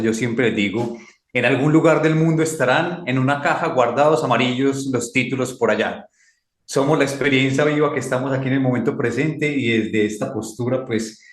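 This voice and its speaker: A Colombian man speaking as a mentor and guest, in a slow, emphatic, reflective way that repeats key phrases. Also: medium pitch, reverberant. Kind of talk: reflective talk.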